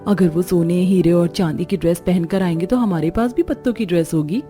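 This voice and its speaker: Kind young cartoon bride, voiced by a woman, reassuring and soft-toned, light music behind. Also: fairly low pitch, brisk, lightly acted.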